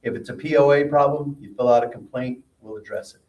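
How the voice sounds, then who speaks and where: A man's voice: medium pitch, fast talker, a little mellow, muffled recording. A man on an owners' association board, answering residents at a community meeting in a plainspoken, reassuring way. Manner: plainspoken, reassuring, gives practical advice. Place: meeting room. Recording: roomy hall audio from a distant mic.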